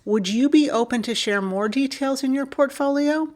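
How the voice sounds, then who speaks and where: A woman co-hosting a podcast, reading a listener's email aloud in a steady, clear manner. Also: high-pitched, normal speed.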